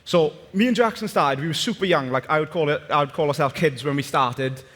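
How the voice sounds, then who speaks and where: Young Welsh man, Swansea accent, higher voice, casual restarting talk, long echo of a big hall. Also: fairly quick, a bit bright. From Wales. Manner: casual, chatty, many restarts and fillers. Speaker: company founder giving a talk. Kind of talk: conference presentation with slides. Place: large conference hall.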